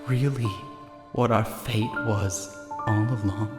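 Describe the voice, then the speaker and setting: A man's voice: fairly low pitch, steady tone, slow pace. A man playing a brooding, despairing character in a dramatic inner monologue, with heavy reverb on his voice. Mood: bleak despair. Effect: long reverb. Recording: echoey long reverb, loud music.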